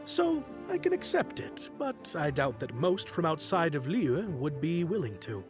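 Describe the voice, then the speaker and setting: A man's voice: a little high, wildly swooping pitch, measured pace, over music. A man playing a chatty, deferential merchant in a video game. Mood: impressed. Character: chatty, satisfied male merchant.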